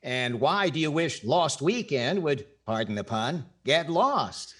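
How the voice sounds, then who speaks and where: A man's voice: fairly high pitch, animated, takes it slowly, fairly bright tone, exaggerated voice. An older man with an American accent acting a wry, wordy, playfully pompous host in 1940s radio comedy style.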